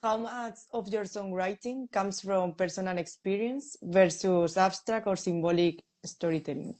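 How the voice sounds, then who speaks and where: A woman interviewer asking a musician measured, friendly questions. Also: mid-range voice, darkish tone.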